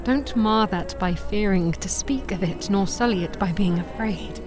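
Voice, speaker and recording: An actress playing a wise, serene elven mother in a fantasy audio drama, with gentle, formal, measured phrasing. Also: medium pitch, music in the background, lightly acted. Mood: tender, encouraging.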